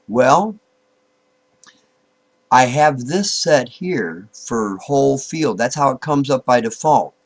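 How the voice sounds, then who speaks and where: A man giving an informal, unscripted software tutorial, thinking aloud, halting and repeating himself as he goes. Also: mid-range voice, gravelly. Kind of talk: informal screen-recorded tutorial, unscripted walk-through.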